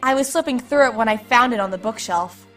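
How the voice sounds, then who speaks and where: A woman's voice: fairly high pitch, a little fast, fairly bright tone, recorded from a distance, soft background music, voice pushed up, lightly acted. A woman voicing a chatty young adult former classmate with a breezy, offhand manner.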